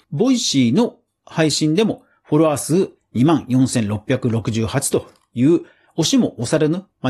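A man hosting a podcast, giving casual solo commentary in an explanatory way, with emphatic phrasing and deliberate pauses. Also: medium pitch, a little mellow, husky. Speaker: podcast host.

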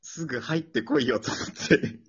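A man appearing as a radio show guest, chatting casually and excitably in colloquial speech. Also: mid-range voice, normal speed, husky. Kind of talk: casual after-talk chat. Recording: dull lo-fi online audio.

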